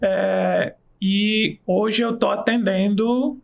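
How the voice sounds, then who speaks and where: A man's voice: sits high, fairly even intonation, extremely slow, creaky. A man, an aromatherapy practitioner, telling his story with enthusiasm in a casual interview. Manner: enthusiastic storytelling, pace shifts. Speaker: aromatherapist and nurse, guest.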